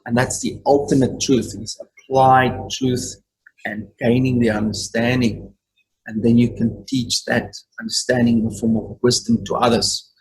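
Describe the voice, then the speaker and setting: A man's voice: mid-range voice, little pitch movement, unhurried, poor audio. Earnest man leading a video-call study group. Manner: earnest, repetitive affirmations. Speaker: Bible study teacher. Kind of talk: online study group discussion.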